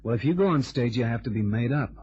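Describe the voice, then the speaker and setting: An actor playing an easygoing, friendly stagehand who talks casually, in an old radio drama. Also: male voice, medium pitch, expressive, quick pace, a little mellow, breathy edge, muffled recording. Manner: casual, friendly, hesitant fillers.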